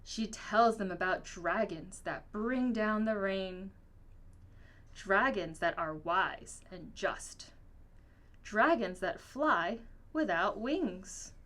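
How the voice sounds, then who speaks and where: A woman reading a children's picture book aloud in an expressive, storytelling manner. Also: medium pitch, slow. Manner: expressive, acts out dialogue. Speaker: storybook reader.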